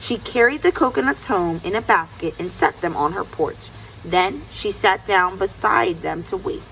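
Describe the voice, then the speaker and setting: A woman narrating a children's story aloud in an expressive storytelling style. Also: medium pitch, normal speed, creaky, slight background noise, low-quality recording. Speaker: storybook reader.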